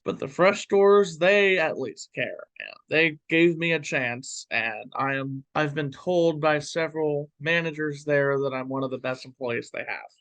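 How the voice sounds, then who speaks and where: A man's voice: on the high side, a little slow, hardly any pauses, a bit bright, no echo. A man in his twenties from California answering interview questions in a wry, talkative way. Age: 20s.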